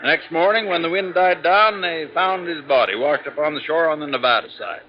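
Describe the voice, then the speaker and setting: An actor with an American accent playing an exasperated, dismissive insurance agent in a 1950s radio drama, grumbling and sardonic. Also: male voice, a little high, fairly quick, lightly acted. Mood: matter-of-fact. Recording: dull vintage radio recording.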